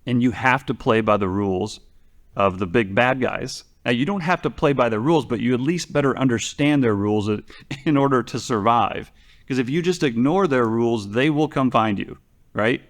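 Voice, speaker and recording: A man's voice: medium pitch, expressive, moderate pace. A man speaking as a podcast commentator, explaining things conversationally in a rambling way, often restarting and repeating phrases for emphasis.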